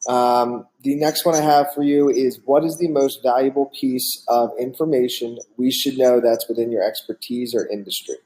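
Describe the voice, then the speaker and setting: A man's voice: medium pitch, steady tone, moderate pace, hardly any pauses, dark tone, rough recording. A man hosting a business podcast, reading an upbeat intro and asking his guest questions. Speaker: podcast host. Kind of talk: casual interview hosting.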